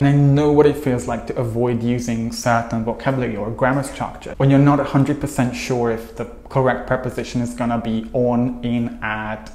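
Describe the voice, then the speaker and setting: A man, teaching English to camera in an earnest, steady way. His voice sits at a middling pitch. The lapel-mic sound carries a noticeable roomy echo from a small room at home. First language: Hungarian. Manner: earnest, steady, listing points in long runs. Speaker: English coach. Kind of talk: scripted video lesson to camera.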